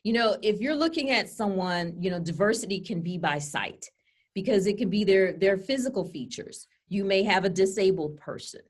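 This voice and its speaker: A woman's voice: mid-range voice, expressive, moderate pace. Woman co-host, chatty webinar presenter, laptop webcam call audio. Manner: chatty, full of fillers like "you know" and "okay?". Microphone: laptop webcam on a video call.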